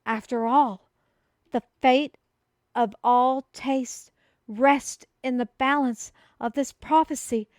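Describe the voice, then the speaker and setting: An excitable female messenger from a fantasy kingdom, acted by a woman in an audio roleplay. The voice is smooth and fairly high, and the delivery is unhurried and theatrical, with grand archaic phrasing addressed straight to the listener. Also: dry recording, studio quality. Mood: solemn, dramatic.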